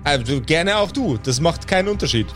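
Guy running a pen and paper game, voice a bit high, faint music under him. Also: lively intonation, a little fast, fairly bright tone. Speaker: game master. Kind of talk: narrating an improvised tabletop roleplaying game. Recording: music behind the voice. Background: background music.

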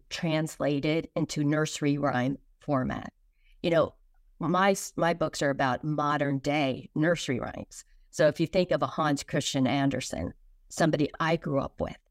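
Earnest woman with a lowish voice. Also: no room sound, studio quality. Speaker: guest, children's book author. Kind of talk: conversational explanation in an interview.

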